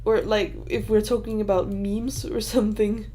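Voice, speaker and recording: A woman's voice: medium pitch, level tone, normal speed, dark tone, a little hiss or hum. Young Danish woman rambling through a livestream. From Denmark. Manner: rambling, hesitant, many fillers and restarts. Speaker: livestream host answering questions. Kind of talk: casual Q&A livestream chat.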